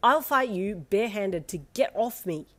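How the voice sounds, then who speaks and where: A woman voicing a young woman traveler caught in a fight, speaking in loud, exclamatory retorts. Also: expressive, moderate pace. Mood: exasperated. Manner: shrill, exclamatory pleading and retorts. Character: young woman traveler, scared then exasperated.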